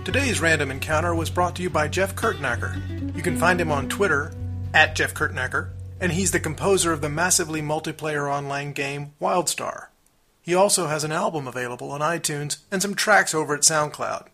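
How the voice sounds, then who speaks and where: A man's voice: fairly high pitch, a little fast, bright, forceful tone. A man hosting a podcast, reading scripted outro announcements in an upbeat, announcer-like way. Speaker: podcast host. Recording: music playing behind. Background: music.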